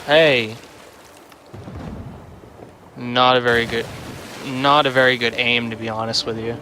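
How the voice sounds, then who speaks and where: A man's voice: mid-range voice, little pitch movement, unhurried, sharp tone, slight background noise. A man giving casual livestream commentary on a game, rambling and thinking aloud as he plays. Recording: music behind the voice. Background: game music.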